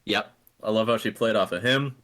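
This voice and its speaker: A man's voice: fairly low pitch, a little fast, a bit bright. A man co-hosting a podcast in casual chat, rambling and hesitant with frequent restarts. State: coughing, throat irritated. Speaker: podcast co-host.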